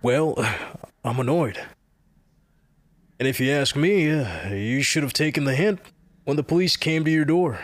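A man voices a grumpy, sarcastic support-group member who speaks slowly and bluntly. His pitch swings widely, and the sound is dry and close. He plays the gruff cynic of an audio drama. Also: expressive, no room sound, close to an everyday voice.

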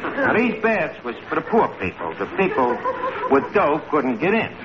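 A muffled vintage radio broadcast with a loud audience carries a breezy New York tavern manager. His voice is high for a man and very animated. He talks like a self-taught professor with mangled grammar, always scheming. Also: normal speed, a loud crowd. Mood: pompous, lecturing. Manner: mock-learned, mangled grammar. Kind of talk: 1940s radio comedy acting.

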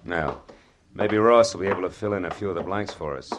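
An American actor playing a wry, slangy private detective in a hard-boiled 1940s radio drama style. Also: male voice, deep voice, a little fast, background noise. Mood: calm, matter-of-fact. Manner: sardonic, slangy, laconic. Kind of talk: 1940s radio acting, noir first-person narration.

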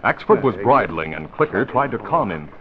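A man narrating an old-time radio crime serial in a measured, dramatic style. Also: lowish voice, sing-song, a crowd in the background. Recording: tinny, noisy vintage radio recording.

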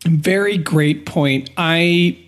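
A man with a slightly high voice speaks as a podcast co-host. His delivery is conversational but deliberate and a little formal, working through an analysis for listeners.

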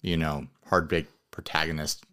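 A man's voice: extremely low pitch, level tone, moderate pace, sharp tone. A man hosting a podcast, chatting casually and thinking aloud in an informal, rambling way. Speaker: podcast host. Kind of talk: casual chat.

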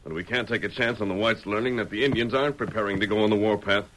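Man playing a forceful masked cowboy hero. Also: on the low side, fast talker, natural voice, low-quality recording. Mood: calm, resolute. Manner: crisp, authoritative, formal diction. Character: steady, commanding masked cowboy hero on horseback. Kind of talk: 1940s radio western acting. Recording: old radio drama, faint crowd noise.

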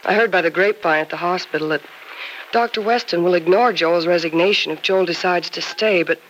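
An actress with an American accent playing a concerned, urgent friend in an old-time radio soap opera, speaking emphatically. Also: female voice, lowish voice, a little fast, a bit bright, natural voice, poor audio. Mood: urgent, persuasive. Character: concerned, urgent female friend giving firm advice. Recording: old radio broadcast with faint noise.

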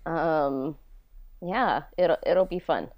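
A woman's voice: sits low, extremely slow, darkish tone, dry recording, clean studio sound. A woman podcaster answering interview questions in casual, conversational storytelling. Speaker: podcast co-host being interviewed. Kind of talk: casual chat, telling personal stories.

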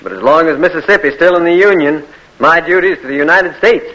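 A steadfast young gentleman. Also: a little high, lively intonation, fast talker, poor audio. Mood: firm. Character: principled young Southern gentleman, loyal to the Union. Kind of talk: 1940s radio acting.

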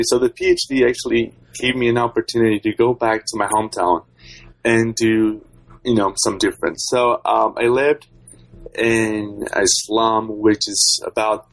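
A young man answers interview questions conversationally. His voice is fairly low, and he talks as a researcher explaining his own path. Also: low-quality recording. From Brazil. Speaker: podcast guest, doctoral researcher.

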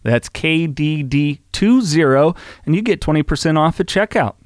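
A man hosting a podcast, reading sponsor plugs in a quick, upbeat way. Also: a little high, animated, dry recording. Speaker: podcast host. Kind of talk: podcast chat and sponsor read.

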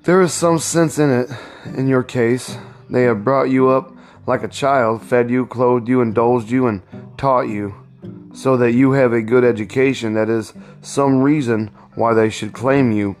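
A man reading a storybook, voicing a gentle, anxious young wife with a pleading, mournful manner. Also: medium pitch, steady tone, a little slow, faint music behind, lightly acted. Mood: gentle, reasoning. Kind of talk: storybook read-aloud.

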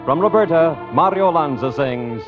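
A male announcer from classic radio delivers scripted copy in a formal, polished way. His pitch moves in a lively sweep. The recording is muffled, with long reverb and faint music behind him. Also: moderate pace.

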